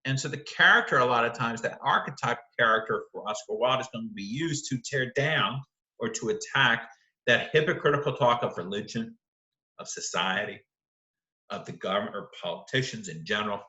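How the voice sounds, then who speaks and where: Male lecturer, bright, forward voice, informal and explanatory, conversational with frequent qualifiers, roomy echo on the recording. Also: mid-range voice, brisk. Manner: conversational, often hedging and checking with "right?". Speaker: literature lecturer. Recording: roomy, echoing sound.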